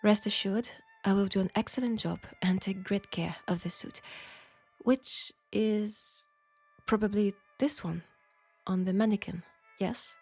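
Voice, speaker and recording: A woman's voice: medium pitch, unhurried, a little mellow, breathy edge, faint music behind. A woman with a French accent playing a polite, formal, self-assured seamstress in a steampunk audio roleplay drama. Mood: polite, composed. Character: proud, outspoken, formal young seamstress in steampunk Paris.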